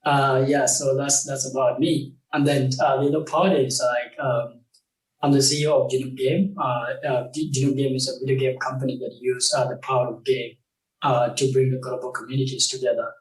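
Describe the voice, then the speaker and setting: A young man from South Sudan talks as an interview guest, halting and full of fillers, with a voice of middle pitch. He sits a little away from the microphone, so the sound is roomy and echoing. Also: little pitch movement, recorded from a distance. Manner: halting, many fillers and restarts, laughs often. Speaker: guest, game company founder. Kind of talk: casual interview conversation.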